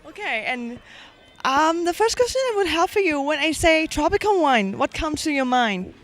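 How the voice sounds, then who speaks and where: High-voiced woman interviewer, polite. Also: animated, moderate pace. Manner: polite, scripted questions. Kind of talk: conference interview.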